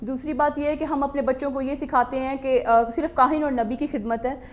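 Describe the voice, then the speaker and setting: A woman's voice: high voice, fairly even intonation, a little fast. A woman with a slightly strained throat, earnestly answering interview questions with English words mixed in. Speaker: talk show guest, charity worker.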